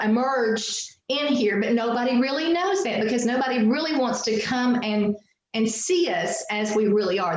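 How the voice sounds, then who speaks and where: A middle-aged woman from Southwest Virginia with a strong Appalachian twang, telling folksy stories as an interview guest. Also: on the high side. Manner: folksy, chatty, laughs easily, many fillers. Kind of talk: casual storytelling interview. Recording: very echoey room with faint noise.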